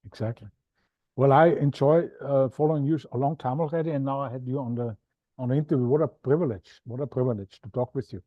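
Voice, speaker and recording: A man's voice: mid-range voice, quick pace, no echo. A man with an Austrian accent hosting an interview podcast, chatty and a little self-deprecating as he signs off. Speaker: podcast host. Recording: dull, dry lo-fi sound.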